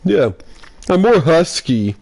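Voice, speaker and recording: Gamer guy with a gravelly voice, ribbing his buddies in loose chat. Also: a little high, big pitch swings, slow, a little mellow. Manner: teasing, joking banter. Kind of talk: casual chat among friends.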